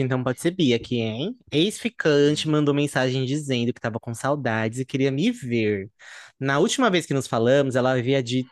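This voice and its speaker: A man's voice: mid-range voice, dry recording, clean studio sound. A Brazilian man co-hosting a casual podcast, reading stories aloud and dropping slangy, campy asides. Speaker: podcast co-host.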